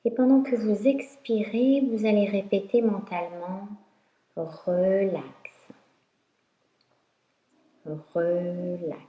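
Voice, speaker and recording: A woman teaching yoga nidra, guiding a meditation in a calm, instructional way with long pauses. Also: mid-range voice. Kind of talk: guided meditation narration. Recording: roomy echo.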